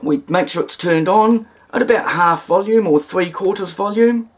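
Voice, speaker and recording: A man's voice: high voice, normal speed. A man giving an informal, hands-on demonstration, explaining things step by step in a somewhat hesitant way. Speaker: hobbyist presenter demonstrating radio repair.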